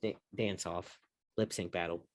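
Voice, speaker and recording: Male sports co-host, very low voice, close dry sound, informal and blunt, rambles through opinions. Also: fairly even intonation, measured pace, dry recording. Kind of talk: casual opinionated sports chat.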